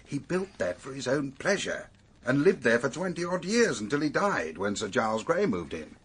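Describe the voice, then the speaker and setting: An actor playing an authoritative, wry police superintendent in a British radio drama, setting out facts in an orderly, emphatic way with a British accent. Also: male voice, medium pitch, very animated, a bit bright, breathy edge. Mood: wry, explanatory. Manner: measured, emphatic, expository.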